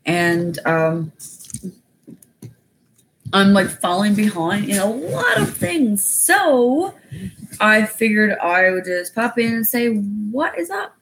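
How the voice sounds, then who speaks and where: A woman with a raspy voice chatting casually on a livestream, rambling with many fillers. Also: mid-range voice, lively intonation, slow pace, pauses often, a bit bright, a little hiss or hum, low-quality recording. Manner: rambling, loose, lots of fillers. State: winded after exercising. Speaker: YouTuber going live.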